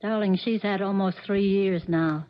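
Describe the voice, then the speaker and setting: A woman's voice: mid-range voice, fairly even intonation, moderate pace, natural voice. An actress playing a patient, doubtful stepmother in a 1970s radio drama, polite and resigned. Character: patient, doubtful, mild-mannered stepmother and wife. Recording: muffled old radio recording.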